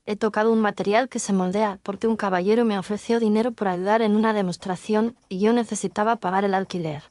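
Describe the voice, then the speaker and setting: A woman's voice: mid-range voice, steady tone, fast, a little mellow, no room sound, clean studio sound, natural voice. A woman playing a young, humble touring actress in an audio drama, speaking earnestly and candidly. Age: young adult.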